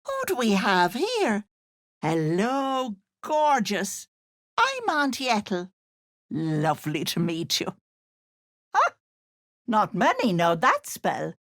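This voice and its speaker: A woman's voice: mid-range voice, huge pitch swings, takes it slowly, long pauses, fairly bright tone, no room sound, clean studio sound, heavily acted. A woman voicing a sly, syrupy older auntie witch in a video game, cooing endearments with an edge of menace. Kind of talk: video game character voice lines.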